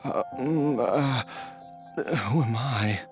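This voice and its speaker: A man's voice: medium pitch, extremely slow, faint music behind. A man voicing a sickly, confused father in a video game, stammering and vague, trailing between hesitant fragments. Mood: dazed, bewildered.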